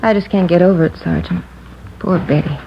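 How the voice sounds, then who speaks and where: An actress playing a chatty, distracted market counter clerk in a naturalistic 1950s radio drama, speaking casually and haltingly. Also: female voice, lowish voice, lightly acted. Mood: subdued, chatty. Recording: dull, faintly noisy old radio recording.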